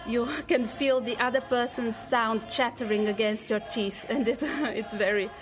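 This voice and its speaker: A woman's voice: a little high, fairly even intonation, unhurried. A woman, a choir leader explaining her craft in an interview.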